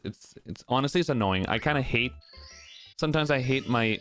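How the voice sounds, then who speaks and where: A man with a fairly low voice and an American accent picked up over years of streaming. He chats loosely and sarcastically, swearing freely and restarting his sentences. Faint game music plays underneath. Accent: American, adopted over years of streaming. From Trinidad. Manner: casual, sarcastic and profane, with many restarts. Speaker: streamer and speedrunner. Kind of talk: casual chat while gaming and reading chat.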